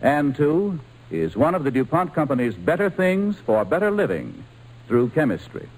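A man reading a scripted 1940s radio commercial as a formal, measured, emphatic announcer. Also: on the high side, very animated, poor audio. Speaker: sponsor's announcer.

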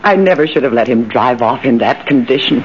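An actress playing an anxious, evasive murder suspect, halting and melodramatic, in a 1940s radio drama. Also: female voice, rumbling low, lively intonation. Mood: guarded, anxious. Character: scheming murderess under questioning, anxious, evasive. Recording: muffled, faintly hissy old radio recording.

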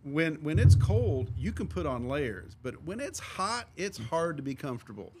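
A man's voice: on the high side, very animated, normal speed, over loud music. A man who teaches, chatting casually as a podcast guest and answering questions. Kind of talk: casual chat.

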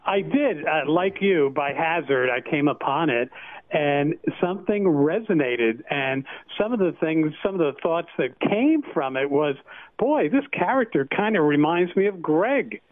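A man's voice: fairly high pitch, animated, a little slow, no room sound. Male caller on a tinny phone line. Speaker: caller to a radio show. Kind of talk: casual phone-in chat. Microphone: telephone.

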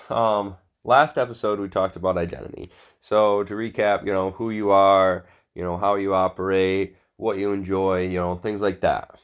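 A man's voice: low voice, dull audio. A man with a congested, sore-throated voice co-hosting a podcast in blunt, sweary, unscripted chat. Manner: profane, blunt. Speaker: podcast co-host.

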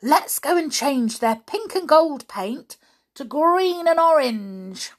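A woman's voice: high-pitched, lively intonation, slow, voice pushed up. A woman voicing a mischievous young male fairy who leads the others into trouble, in a raised, eager voice. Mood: impish. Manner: eager and impish.

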